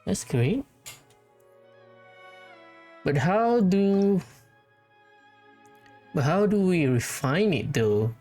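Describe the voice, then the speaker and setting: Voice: mid-range voice, big pitch swings, very slow, long pauses, mellow. A gamer giving casual, hesitant play-through commentary, thinking aloud. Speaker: gamer, let's play host. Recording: game music behind the voice. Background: game music.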